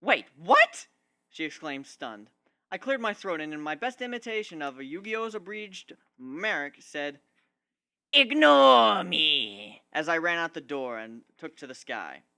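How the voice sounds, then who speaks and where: A man's voice: fairly high pitch, expressive, moderate pace, pushed and bright, clear, resonant tone. A man narrating a piece of fan fiction as an amateur dramatic reading. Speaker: amateur story reader.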